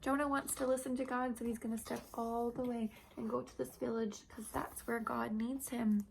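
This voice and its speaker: A woman reading a Bible story aloud to young children, in simple repetitive phrases with questions to her listeners and some hushed, whispery delivery. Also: a little high, flat delivery, normal speed, gravelly, a little hiss or hum, low-quality recording.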